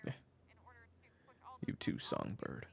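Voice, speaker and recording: A man's voice: slow pace, close to an everyday voice. A man playing a weary, protective family man with a criminal past in an audio drama, both narrating and speaking in a hesitant way. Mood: guarded. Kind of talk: audio roleplay acting with first-person narration.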